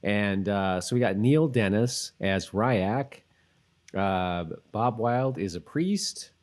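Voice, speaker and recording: A man's voice: fairly low pitch, takes it slowly. A man co-hosting a casual podcast chat, often trailing off and rephrasing mid-thought. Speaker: podcast co-host.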